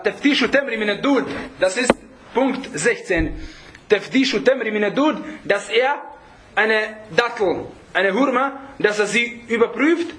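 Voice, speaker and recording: A man's voice: high-pitched, fairly bright tone, a little hiss or hum, low-quality recording. A man preaching in an informal religious lesson, conversational and full of rhetorical check-backs to his listeners, with Arabic phrases mixed in. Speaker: Islamic preacher teaching a group.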